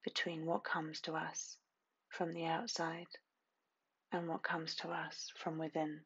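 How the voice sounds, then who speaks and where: A woman narrating a scripted guided meditation in a soothing, hushed, hypnotic manner, lingering on repeated phrases with long pauses. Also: low-pitched, completely flat delivery, slightly rough, muffled recording.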